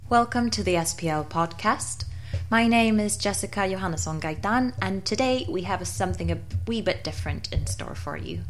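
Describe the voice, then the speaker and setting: A woman's voice: mid-range voice, moderate pace, a little hiss or hum. A woman hosting a poetry podcast, reading an introduction to her guests and then asking them questions. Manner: warm, enthusiastic introductions. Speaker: podcast host. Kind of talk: scripted intro and interview questions.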